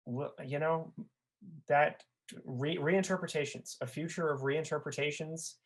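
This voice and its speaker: A man's voice: on the high side, a little slow. A man talking as a guest in a casual discussion, hesitantly working through his thoughts as he goes. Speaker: podcast guest, fan community member.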